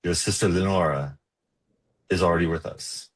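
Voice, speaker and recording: A businesslike estate lawyer in a comedy reading, played by a man. His voice is low and husky. He is formal and matter-of-fact. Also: normal speed. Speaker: actor reading at a script table read.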